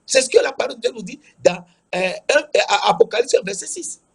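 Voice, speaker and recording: A man with a West African French accent preaching a sermon in an emphatic, repetitive way, with a husky, rough-edged voice. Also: very high-pitched, lively intonation, fairly quick. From Togo. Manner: emphatic, repeats key phrases.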